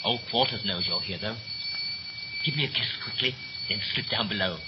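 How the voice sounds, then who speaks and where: An actor playing a brisk, bossy young ship's officer in a 1930s radio play, with a clipped, commanding, theatrical manner. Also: male voice, fairly low pitch, lightly acted. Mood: fond, hurried. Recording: muffled, noisy 1930s radio recording.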